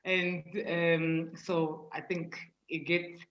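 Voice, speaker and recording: A man's voice: on the high side, flat delivery, drawn-out words, reverberant, dull audio. A man, introducing himself as a guest at a book festival in chatty English full of laughs, fillers and restarts. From Angola. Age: 40s. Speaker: rapper and author guest. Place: event hall.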